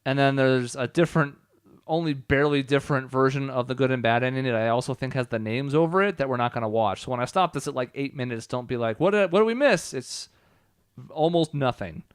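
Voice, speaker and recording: A man's voice: mid-range voice, a little fast, clean tone, dry recording, clean studio sound. A man chatting casually as a co-host of a video game let's play. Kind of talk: casual gaming commentary chat.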